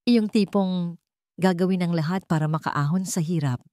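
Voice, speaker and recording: A woman's voice: fairly low pitch, normal speed, dark tone, no room sound, clean studio sound, natural voice. A woman narrator voicing a scheming female cousin in a story, speaking with a Filipino accent and hesitant, feigned concern. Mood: hesitant insinuation. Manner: hesitant, falsely worried. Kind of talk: storybook character dialogue.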